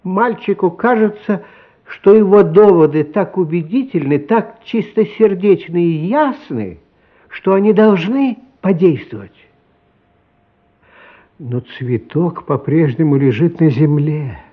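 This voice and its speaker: A man narrating a literary radio play as a solemn, compassionate storyteller, expressive and measured, with dramatic pauses. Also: fairly high pitch. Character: solemn, compassionate storyteller of a boy's inner life. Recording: slightly dull, lo-fi radio recording. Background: music.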